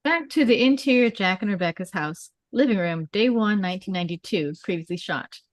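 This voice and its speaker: A woman narrates a screenplay at a table read. She reads scene headings and stage directions in a mid-pitched voice, recorded dry with little room sound. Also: expressive, fairly quick, no echo. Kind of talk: scripted narration.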